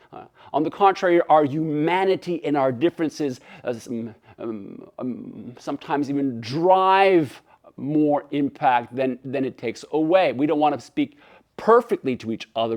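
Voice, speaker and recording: A man from Canada whose native tongue is French gives an animated webinar keynote, often restarting phrases and acting out dialogue. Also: mid-range voice. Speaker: keynote speaker. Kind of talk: webinar presentation.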